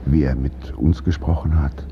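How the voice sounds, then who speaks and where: Really low voiced guy, reflective interview talk, hesitant, kinda muffled with a bit of noise. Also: fairly even intonation, normal speed. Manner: thoughtful, searching, hesitant. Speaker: stage actor.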